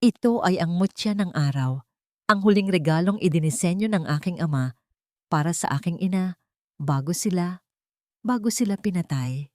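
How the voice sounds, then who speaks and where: A woman narrates a dramatic story in the first person. Her voice sits fairly low and is recorded very dry. She delivers the script in deliberate, short phrases. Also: lively intonation, no echo.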